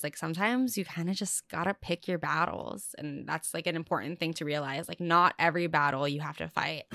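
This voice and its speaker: A woman's voice: lowish voice, a bit bright, dry recording. A young American-born woman hosting a casual podcast chat, talking freely with many fillers. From United States. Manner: chatty, lots of fillers. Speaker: podcast host.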